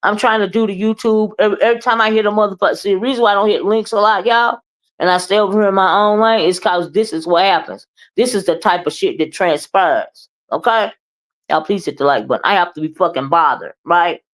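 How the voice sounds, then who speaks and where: Woman, loud and boisterous, blunt and profane, moody livestream ranter. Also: mid-range voice, fairly quick, rough recording. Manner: blunt, profane, keeps asking "okay?" and "right?"; moody, confrontational. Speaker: live streamer and commentator. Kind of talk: ranting live commentary.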